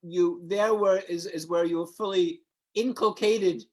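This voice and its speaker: A man's voice: high-pitched, steady tone, a little slow. A man hosting an interview, asking questions in a playful, teasing, mock-serious way. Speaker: host. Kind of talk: casual interview chat.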